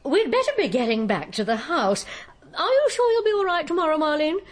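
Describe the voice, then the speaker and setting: An actress playing a gossipy, brisk, wry middle-aged woman with an upper-class English accent in a radio drama. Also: high-pitched, very animated. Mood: brisk, concerned. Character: chatty, scatty, good-humoured English lady crime novelist.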